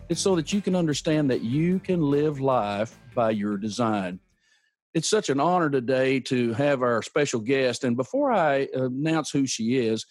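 An energetic male host pitching positivity like a salesman, his pitch swinging up and down with every emphatic line. He is recorded dry and close on the mic, with soft music playing faintly underneath. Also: dry recording. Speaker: podcast host. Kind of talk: upbeat motivational podcast hosting.

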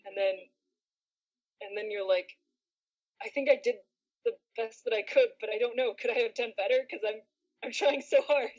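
A woman's voice: on the high side, moderate pace, long pauses, a little mellow. Earnest woman voice actor, muffled sound. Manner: earnest, rambling, lots of "like". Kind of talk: casual behind-the-scenes chat.

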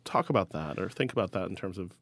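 A man's voice: low voice, lively intonation, fast talker, fairly bright tone, no echo. A man hosting a conversation with a poet, asking engaged and curious questions. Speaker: host. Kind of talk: conversational interview.

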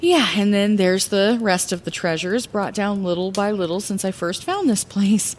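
A woman playing Zellera, a scrappy, chatty lighthouse keeper, telling a fantasy audio drama bedtime story with hesitant, expressive asides. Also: medium pitch, moderate pace, a little hiss or hum, lightly acted. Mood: chatty, rambling.

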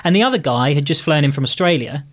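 A woman's voice: low voice, animated, quick pace. Playful woman chatting on a podcast. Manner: playful, joking. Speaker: podcast co-host. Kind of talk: casual chat, anecdotes.

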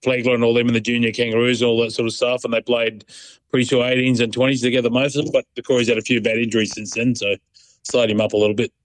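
A middle-aged man with an Australian accent is being interviewed as a guest. His medium-pitched voice has a dry sound. He rambles along casually and colloquially, in a laid-back manner. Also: level tone, quick pace, no room sound, rough recording. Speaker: interview guest, proud sporting dad. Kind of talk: casual phone-in chat.

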